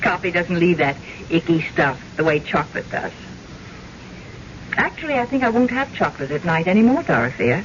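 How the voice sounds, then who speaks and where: An actress playing a lonely, adoring, middle-aged American widow of a big house in theatrical old-time radio style. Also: female voice, on the low side, big pitch swings, normal speed. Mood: polite, chatty. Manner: effusive, theatrical. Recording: dull radio broadcast under loud engine noise. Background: loud vehicle engine drone.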